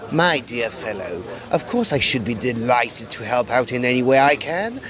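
An urbane Edwardian detective of the occult played by a man speaks with courteous, teasing eagerness. His mid-pitched voice is very animated, with a busy crowd behind him in an audio drama. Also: normal speed, lightly acted. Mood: eager, courteous.

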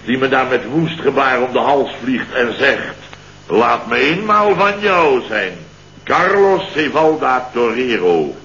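An actor playing a flustered, indignant man who tells a farcical tale of woe in halting, repetitive bursts, in a radio comedy. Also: medium pitch, lively intonation, a bit bright. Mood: aggrieved. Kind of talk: comic radio play dialogue. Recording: dull, noisy early-1970s radio recording.

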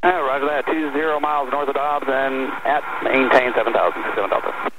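A man's voice: medium pitch, steady tone, fairly quick. Male pilot through heavy radio static. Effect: cockpit radio transmission. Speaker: private aircraft pilot. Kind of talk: radio readbacks to air traffic control. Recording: very noisy, tinny cockpit radio.

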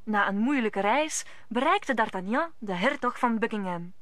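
A woman's voice: on the high side, animated, normal speed, fairly bright tone, dry recording. A woman narrator telling the story of a radio play in scripted storytelling style. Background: music.

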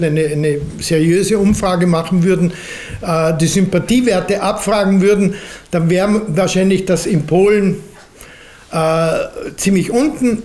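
A man in his seventies with an Austrian accent, answering questions as a lecturer in a deliberate way, often adding questioning tags. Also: sits high, darkish tone. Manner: deliberate, hedging, often adds a questioning "nicht?". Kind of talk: unscripted answer after a lecture. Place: library lecture room, at a lectern. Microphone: lectern microphone.

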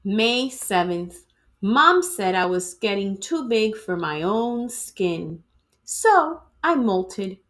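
Lively, unhurried woman reading a storybook. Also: medium pitch. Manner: expressive, dramatic phrasing. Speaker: teacher reading aloud to students. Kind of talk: animated children's storybook read-aloud.

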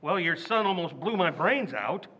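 A man acting a loud, indignant, stingy working-class father in an old-time radio comedy recreation. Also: fairly high pitch, expressive, normal speed, poor audio. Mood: blustering. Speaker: radio actor.

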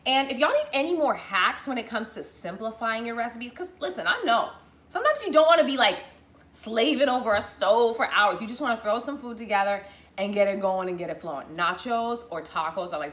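Young woman cooking host, high bright voice, bubbly and chatty, roomy home-kitchen sound. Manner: bubbly, chatty, calls viewers y'all. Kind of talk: live cooking demo, casual chat. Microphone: fixed camera at counter distance. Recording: echoey kitchen room.

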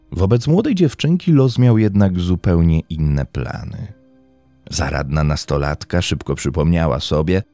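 A man with a low, mellow voice tells a dark true story as a podcast narrator. His delivery is scripted and suspenseful, with lively swings in intonation. Faint music plays underneath. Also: no room sound. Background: music bed under the voice.

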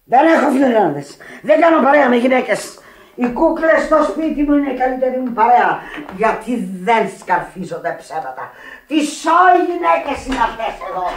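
A bitter, passionate widow from Sicily in a radio play. Her theatrical delivery swings widely up and down, with emphatic repetitions. The recording has a clear echo around the voice. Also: high-pitched, sing-song. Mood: scornful, agitated. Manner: theatrical, emphatic, repeating herself. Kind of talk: radio drama acting. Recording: echoey radio drama recording.

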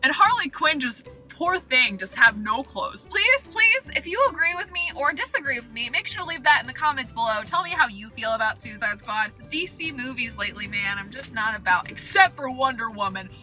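Bright, high-voiced young woman vlogging. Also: fairly quick, few pauses, soft background music. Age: 20s. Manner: animated, exclamatory, playful. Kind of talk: casual solo vlog chat. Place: inside a car, driving.

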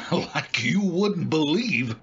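An actor playing a blunt, wary working-class cab driver in an old-time radio drama style. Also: male voice, a little high, lively intonation, drawn-out words, highs cut off, a little hiss or hum. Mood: bemused. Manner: blunt, colloquial, incredulous.

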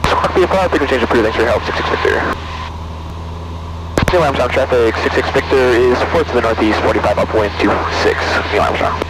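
A man's voice: medium pitch, fast talker. A young man piloting a small plane, making radio calls and chatting casually through a headset mic. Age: young adult. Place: cockpit of a small propeller plane in flight. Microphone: aviation headset boom mic. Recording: loud engine noise, headset intercom.

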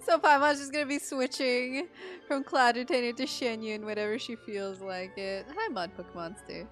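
A woman's voice: fairly high pitch, steady tone, normal speed, fairly bright tone, faint music behind. A woman from the Philippines giving casual, reactive, giggly live commentary as a gameplay streamer. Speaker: streamer, gaming content creator.

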